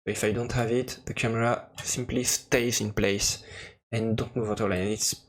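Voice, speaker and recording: A man who speaks English as a second language, presenting an informal software demo in a halting, hesitant way with frequent pauses. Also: lowish voice, little pitch movement. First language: non-native English speaker.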